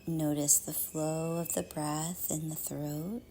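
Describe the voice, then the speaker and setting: A woman leading a guided meditation in a soft, half-whispered, soothing voice, with measured phrases and long pauses. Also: sits low, flat delivery, a little hiss or hum, rough recording.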